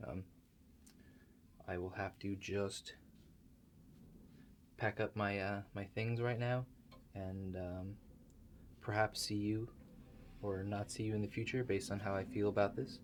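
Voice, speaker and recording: A man with a fairly low voice speaks slowly through an improvised ASMR roleplay. His delivery is deadpan and hesitant, with frequent halting gaps. A light layer of background noise sits under the recording.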